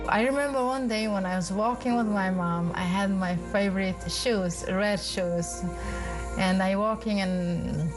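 Woman from Iraqi Kurdistan, slow, tender testimony over music. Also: mid-range voice, rough recording. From Kurdistan, Iraq. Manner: slow, tender, non-native phrasing. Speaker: testimony speaker. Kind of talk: personal testimony. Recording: music bed behind voice.

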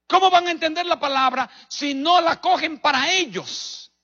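A man with a Dominican accent preaching a sermon as a pastor, telling stories emphatically with rhetorical questions and dramatic pauses. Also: very high voice, pushed and bright. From Dominican Republic. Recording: dull recording in a reverberant hall.

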